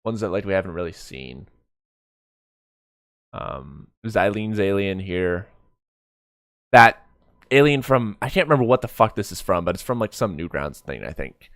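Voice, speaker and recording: Animated man streamer, bright dry voice. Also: low-pitched, moderate pace, long pauses, dry recording. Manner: excitable, profane, joking. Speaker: streamer reacting to a fan motion comic. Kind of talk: casual live reaction commentary.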